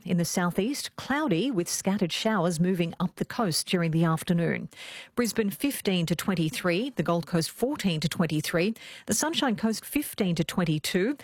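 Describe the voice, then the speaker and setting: A woman reading a scripted news bulletin in a measured, even way. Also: on the low side, dry recording. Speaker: newsreader. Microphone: studio microphone.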